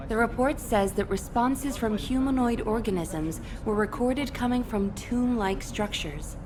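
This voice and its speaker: A woman's voice: fairly high pitch, close to an everyday voice. A woman playing a composed military officer in a video game, giving formal, explanatory briefings. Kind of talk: scripted video game voice acting. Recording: noisy game ambience behind voice.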